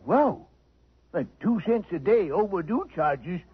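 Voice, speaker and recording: A folksy old-town janitor. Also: male voice, high voice, very animated, slow pace, breathy edge, full character voice. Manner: folksy and joking. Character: chatty small-town library janitor, folksy. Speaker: radio drama actor. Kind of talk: 1950s radio drama acting. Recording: muffled vintage radio with faint hiss.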